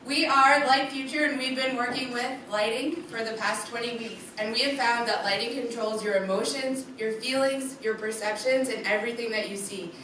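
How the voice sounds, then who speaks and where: Young woman, student presenter, fairly high voice, clear rehearsed delivery; distant mic in a roomy hall. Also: normal speed, few pauses, sharp tone. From USA. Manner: clear rehearsed delivery, speaks straight to the audience. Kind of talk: project presentation to a live audience. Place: darkened presentation room with an audience. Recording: echoey room from far off, background noise.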